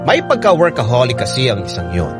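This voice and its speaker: A lovelorn young storyteller in a Filipino radio drama. He confides in listeners with a Filipino accent and very animated intonation. Loud music runs under the narration. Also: medium pitch, fairly quick. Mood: wistful, frustrated. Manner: expressive, confiding.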